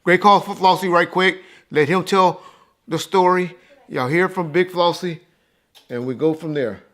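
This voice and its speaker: A man's voice: high-pitched, unhurried, fairly bright tone. An American man hosting a podcast, talking casually in an informal, slangy, emphatic way as he recalls things. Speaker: podcast host. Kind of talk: casual recollection and chat.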